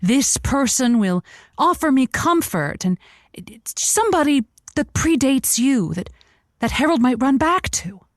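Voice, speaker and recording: A woman's voice: sits high, big pitch swings, a little slow, dry recording, studio quality. A woman playing a theatrical, daffy love-advice host in a comedy radio show. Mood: earnest advice. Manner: theatrical, punning, coaxing.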